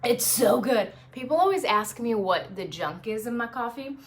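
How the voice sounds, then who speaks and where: A woman presenting traffic and news live from her apartment dining room on a phone, chatty off air and brisk on air, a little sniffly. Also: fairly high pitch, lively intonation. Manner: chatty and self-deprecating off air, brisk and upbeat on air. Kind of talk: casual live stream chat and on-air traffic report.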